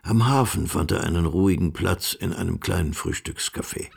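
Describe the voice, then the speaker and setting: A man's voice: sits low, moderate pace, creaky, a little hiss or hum. A man narrating a literary radio play from a script.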